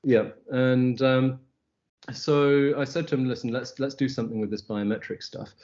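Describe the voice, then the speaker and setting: A middle-aged Scottish man from the Highlands, a company head telling stories in a casual interview, wry, with many ums and restarts. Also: steady tone, normal speed, darkish tone, lo-fi sound. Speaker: agency CEO, guest. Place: South Africa, remote call.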